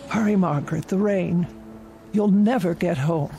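An actress playing a frail, fretful, lonely old woman in a radio drama, with a creaky voice. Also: lowish voice, a little slow, dark tone, faint music behind. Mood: frail, despairing. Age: older.

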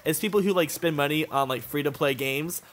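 A man's voice: on the high side, fairly quick, heavy background noise. A man talking casually as he plays a video game, rambling and often saying "like," with some swearing. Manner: rambling, peppered with "like". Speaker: gaming video co-host and player. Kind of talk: casual chat over gameplay. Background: video game play.

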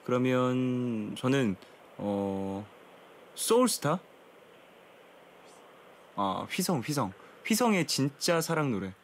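A man's voice: medium pitch, lively intonation, slow, long pauses, a little hiss or hum. A young man in his twenties hosting a late-night radio show, chatting politely with phone-in listeners and laughing often. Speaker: radio host. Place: radio studio.

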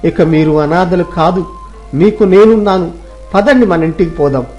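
A man's voice: sits high, moderate pace, darkish tone, dull audio, soft background music, close to an everyday voice. A man playing a grateful, devoted former pupil in a dramatised moral story, earnest and reverent. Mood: reverent, reassuring.

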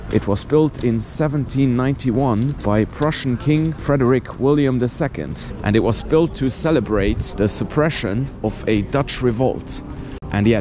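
A man in his thirties from Luxembourg, narrating a walking travel vlog in a relaxed, chatty way, filling pauses with "and yeah". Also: mid-range voice. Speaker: travel vlogger. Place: outdoors on cold city streets. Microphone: handheld camera at arm's length. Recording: outdoor street noise, traffic.

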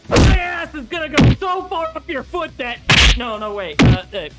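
Man playing a high-voiced hotheaded young yakuza brawler. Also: expressive, normal speed, loud music behind the voice. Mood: fired up. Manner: brash, bumbling boasts. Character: hot-headed young male yakuza brawler, cocky and goofy. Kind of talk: anime-style audio drama acting.

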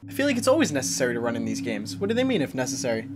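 A man's voice: mid-range voice, huge pitch swings, very fast, a loud crowd. A man in his twenties giving casual, wry, jokey commentary on a horror game as he chats to viewers. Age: 20s. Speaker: gaming streamer. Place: small indoor streaming room. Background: game music.

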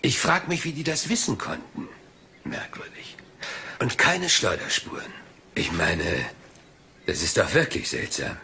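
An actor playing a polite, seemingly scatterbrained police inspector in a crime drama, hesitant, rambling and deferential. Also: male voice, mid-range voice, wildly swooping pitch, measured pace, creaky, long pauses, pushed and bright, whispered, heavily acted. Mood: hesitant, musing. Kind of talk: German crime drama dialogue.

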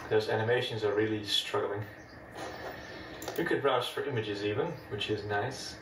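A man's voice: fairly low pitch, moderate pace, noisy background. A man with a Dutch accent, a native Dutch speaker, casually narrating a step-by-step demo of an old computer in a room full of old machines. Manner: relaxed, chatty, explains step by step. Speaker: retro computing video host. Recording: echoey room, mic at a distance.

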